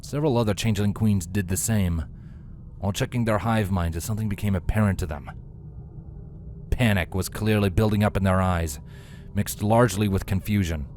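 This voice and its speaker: A man's voice: on the low side, brisk, darkish tone, a little hiss or hum. A man reading a scripted fantasy story aloud as a dramatic narrator. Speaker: fan fiction narrator.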